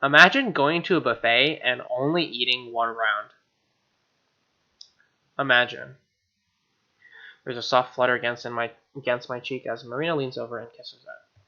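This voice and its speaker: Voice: mid-range voice, steady tone, normal speed, long pauses, bright, forceful tone, lo-fi sound. A streamer reading a visual novel aloud and breaking off into casual, slangy, opinionated commentary. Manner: slangy, exclaiming, opinionated asides. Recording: music behind the voice.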